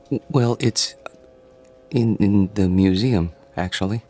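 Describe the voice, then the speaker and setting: An actor in a radio drama playing a shy, awkward loner, hesitant, stammering and politely apologetic. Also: male voice, on the low side, takes it slowly, warm tone, faint music behind. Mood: shy, flustered.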